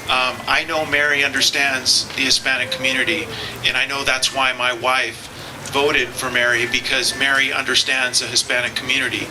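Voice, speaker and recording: A middle-aged man, a law enforcement officer whose first language was Spanish, giving a halting rally speech full of fillers and repeated words. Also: medium pitch, little pitch movement. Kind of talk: public speech at a political rally. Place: outdoor campaign rally. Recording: outdoor rally with clear background noise.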